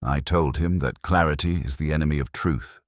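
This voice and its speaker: A man playing a brooding, literate police inspector, narrating a detective audio drama in a measured, solemn, reflective way. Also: extremely low pitch, dry recording, studio quality. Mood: pensive, melancholy.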